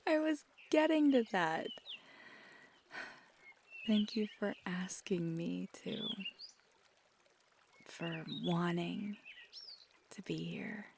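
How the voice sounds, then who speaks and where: A woman acts as a feisty young magical girlfriend in an intimate ASMR roleplay. She speaks slowly and casually, affectionate and teasing, often sinking into a breathy murmur. Birdsong and outdoor noise sit clearly behind her voice. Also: on the low side, exaggerated voice. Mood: soft, grateful. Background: birdsong and outdoor ambience.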